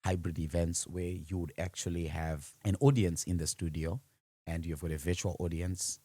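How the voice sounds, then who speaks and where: A man's voice: low voice, steady tone, normal speed, mellow, husky, no room sound. A man with a Zimbabwean accent speaking as a podcast guest, answering interview questions conversationally about his own work. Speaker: podcast guest, audiovisual and livestreaming business founder.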